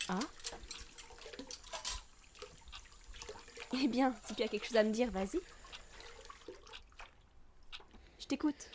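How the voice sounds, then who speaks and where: An actress playing a jealous, explosive jilted fiancée in a radio play, with a theatrical delivery. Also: female voice, fairly high pitch, fast talker, background noise, close to an everyday voice. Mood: cool. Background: music.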